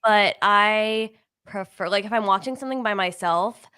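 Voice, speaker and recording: Co-host, woman; mid-pitched, meandering casual talk. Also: normal speed, bright, forceful tone, clean tone. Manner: meandering, filler-heavy. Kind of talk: casual chat on a livestream.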